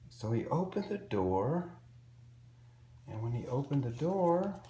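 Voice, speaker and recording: A man reading a picture book aloud to young children in an expressive, simple storytelling way. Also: medium pitch, slow pace. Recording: roomy echo with faint background noise.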